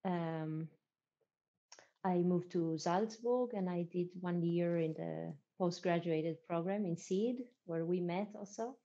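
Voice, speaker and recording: A young adult woman from Israel, a dancer being interviewed, talking reflectively with many pauses and fillers. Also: lowish voice, mellow. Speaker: podcast guest, dancer. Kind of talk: casual conversational interview. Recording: dull lo-fi audio.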